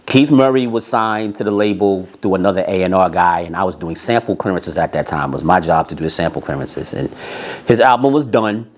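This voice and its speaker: A man's voice: sits low, fairly quick. A middle-aged American man telling stories from his career in a casual, emphatic way, repeating phrases for emphasis. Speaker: host, former record label A&R representative. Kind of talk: casual autobiographical storytelling.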